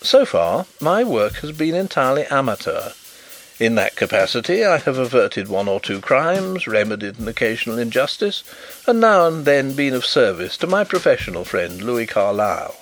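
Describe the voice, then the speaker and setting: A man voicing a good-humoured, self-assured gentleman detective who speaks in measured, courteous terms. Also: mid-range voice, very animated, soft background music.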